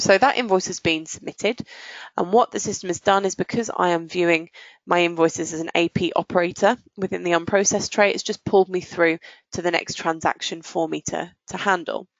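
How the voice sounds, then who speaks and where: A woman presenting a software walkthrough in a methodical, explanatory way. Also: fairly low pitch, steady tone, brisk, gravelly, no room sound, muffled recording. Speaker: software demonstrator.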